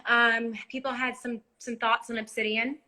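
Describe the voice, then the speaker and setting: Bright, eager woman hosting a chat. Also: fairly high pitch, flat delivery, moderate pace. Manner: warmly affirming, eager listener. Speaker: actress, live-stream host. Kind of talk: casual live-stream chat.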